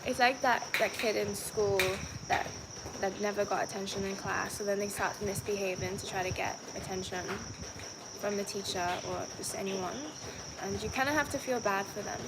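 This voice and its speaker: A woman's voice: medium pitch, normal speed. A young woman with a Trinidadian accent, chatting casually to her live viewers with lots of fillers and restarts. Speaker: vlogger. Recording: loud background noise.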